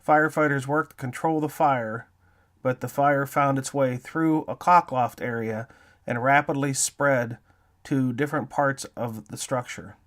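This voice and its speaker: A man's voice: mid-range voice, fairly even intonation, measured pace, a bit bright. A man hosting an interview show, reading scripted narration to introduce a feature.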